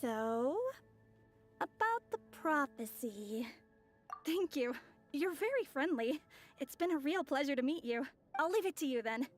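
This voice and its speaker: A woman's voice: sits high, expressive, measured pace. A woman playing a polite, friendly young female traveler in a video game, courteous and well-spoken. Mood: earnest, courteous. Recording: music behind the voice.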